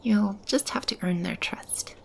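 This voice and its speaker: A woman playing a gentle, nurturing keeper of a magical animal shelter in an ASMR roleplay, speaking softly and soothingly to a child. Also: mid-range voice, measured pace, creaky, slight background noise. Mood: tender, amused.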